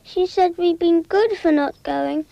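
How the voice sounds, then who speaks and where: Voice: very high voice, a little slow, creaky, muffled recording, background noise, exaggerated voice. An actor voicing a small child who narrates a cautionary cartoon in a simple, childlike storytelling way. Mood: earnest.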